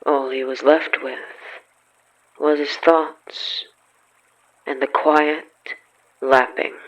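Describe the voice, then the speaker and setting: Slow, solemn male narrator. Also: on the high side, little pitch movement, a bit bright, muffled recording. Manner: solemn, measured, with dramatic pauses. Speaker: narrator of a horror fiction podcast. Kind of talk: scripted literary narration.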